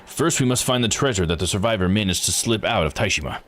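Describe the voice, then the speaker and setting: Man voicing a low, formal, calculating investigator. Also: lively intonation, fast, a bit bright. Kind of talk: visual novel dialogue read aloud. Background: music.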